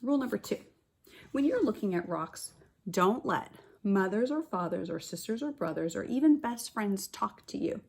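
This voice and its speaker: A woman kindergarten teacher reading a picture book aloud, with expressive stressed words and chatty asides to the children. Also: medium pitch, moderate pace, gravelly, slightly rough.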